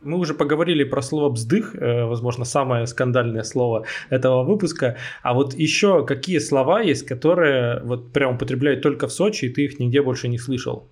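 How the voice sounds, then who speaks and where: Fast-talking male podcast host. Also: medium pitch, darkish tone. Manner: asks questions and lists found notes. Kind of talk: casual chat.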